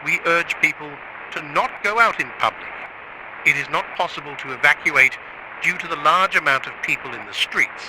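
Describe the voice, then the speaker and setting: A man's voice: on the high side, expressive, moderate pace. Hissy, noisy emergency announcement by a man. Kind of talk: scripted urgent public announcement. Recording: noisy, hissy broadcast sound.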